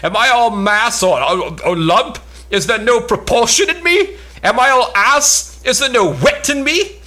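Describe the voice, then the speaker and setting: A man's voice: very high voice, animated, unhurried, sharp tone, voice pushed up, full character voice. A man playing a boastful, bombastic mock-warrior knight in a pompous, declamatory amateur reading of an old stage play. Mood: indignant.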